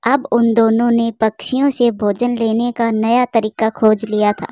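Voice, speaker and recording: High-voiced woman narrating a cartoon. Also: level tone, unhurried, warm tone, no room sound. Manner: addresses viewers as dear friends, moralising.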